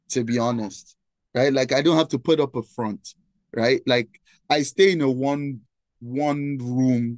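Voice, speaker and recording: A young man speaks with a clear Nigerian accent in a medium register, earnest and talkative, checking in with "right?" again and again. His voice sounds dry and close, with almost no room around it. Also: mid-range voice, a little mellow, dry recording. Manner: earnest, repeats "right?" often. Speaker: guest, nonprofit founder. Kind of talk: conversational interview answer.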